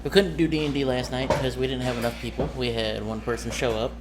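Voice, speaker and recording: Man, mid-pitched, casual game master vlog, rambling, hesitant, off the cuff, noticeable background noise. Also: fairly even intonation, fast. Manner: rambling, hesitant, searching for words. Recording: noisy room ambience, amateur recording.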